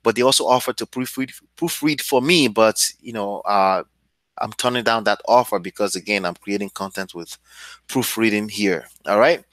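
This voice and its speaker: A man's voice: lowish voice, moderate pace, fairly bright tone, no echo. A man from Nigeria with a Nigerian English accent, hosting a casual real estate video and often checking in with "okay?". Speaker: real estate channel host. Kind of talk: casual talk to camera with screen share.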